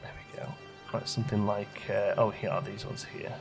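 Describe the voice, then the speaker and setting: A man's voice: lowish voice, steady tone, normal speed, vocal fry, soft background music. A man giving casual gameplay commentary, chatting and musing aloud as he questions his own choices, as a let's play host.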